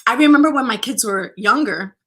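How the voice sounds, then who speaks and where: A bubbly middle-aged woman hosting a casual live chat, her voice bright and ringing. She sits a little higher than most women and keeps greeting viewers by name as she talks. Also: expressive, brisk, slightly rough. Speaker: host.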